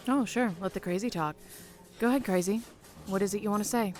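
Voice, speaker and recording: A woman's voice: mid-range voice, a little fast, a little mellow, faint music behind, natural voice. A woman playing a brash, slangy, impatient diner waitress in an audio horror drama. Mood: exasperated. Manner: sassy, slangy, clipped.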